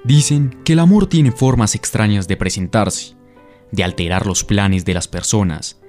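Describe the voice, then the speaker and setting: A man's voice: lowish voice, a little slow, a little mellow. A man giving dramatic scripted narration for a radio soap opera. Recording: roomy echo, faint guitar music behind.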